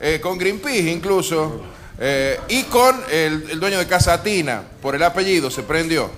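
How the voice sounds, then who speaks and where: A man's voice: fairly high pitch, lively intonation, normal speed, background noise. An Argentine man hosting a radio show, bantering about politics in a joking, mock-serious way. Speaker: radio host. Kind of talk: comic political banter with co-hosts. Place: live radio broadcast from a bar.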